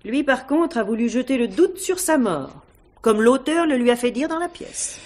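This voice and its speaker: An actress playing a cool, composed widow and theatre owner in a French radio mystery play, speaking with formal, poised politeness. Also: female voice, sits high, big pitch swings, moderate pace, darkish tone. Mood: cool, firm.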